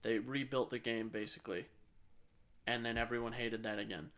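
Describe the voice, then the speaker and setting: A man with a medium-pitched voice talks as a podcast co-host. His delivery is casual and conversational, and he tends to repeat phrases to underline what he means. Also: steady tone, moderate pace, fairly bright tone. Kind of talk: casual chat about video games.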